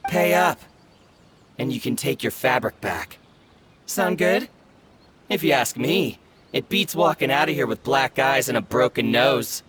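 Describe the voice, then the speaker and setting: A man's voice: medium pitch, measured pace, long pauses, noisy background, lightly acted. A man voicing a sneering, greedy young thug who bullies a merchant in a video game. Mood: smug. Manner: taunting, mocking laughs. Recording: game music behind.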